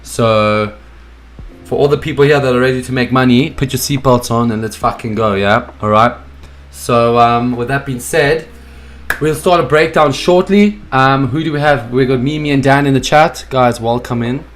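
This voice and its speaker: A young man with a hoarse, froggy voice from a cold, hosting a casual live stream from his home office, hyped up and greeting viewers in the chat by name. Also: medium pitch, steady tone, moderate pace, a little hiss or hum. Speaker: streaming host.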